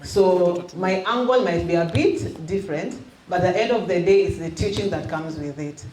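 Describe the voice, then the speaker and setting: A middle-aged Kenyan woman, originally from Western Kenya, leads a youth session as a minister. She keeps it lively by quizzing the room. Her handheld microphone picks up the echo of a church hall with a faint hiss behind her. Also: fairly low pitch, normal speed. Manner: engaging, quizzes the audience, mixes in Swahili. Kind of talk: interactive teaching to a youth group. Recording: echoey hall with faint noise.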